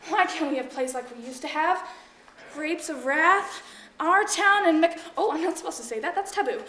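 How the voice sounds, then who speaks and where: A woman's voice: high-pitched, lively intonation, moderate pace, a bit bright. A woman acting a chatty, fretful housemaid and disgruntled actress in a theatrical monologue, with dramatic, emphatic, gossipy delivery. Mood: exasperated. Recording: echoey room with faint noise and clicks.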